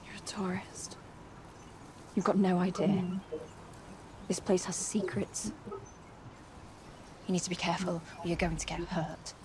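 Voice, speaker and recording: A woman's voice: on the low side, little pitch movement, unhurried, long pauses, lightly acted. Actress as guarded young woman, noisy game audio. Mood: secretive warning. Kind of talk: naturalistic screen acting in an interactive film game. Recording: quiet game audio with clear background noise.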